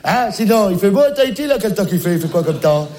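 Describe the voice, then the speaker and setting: A man voices a forgetful grandpa phoning his daughter about the grandson he is minding, with a high voice. He rambles, loses his thread and repeats the same questions over and over, unbothered. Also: very animated, fast talker, darkish tone, a little hiss or hum. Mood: bumbling. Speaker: comic sketch character. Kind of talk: comic one-sided phone call sketch.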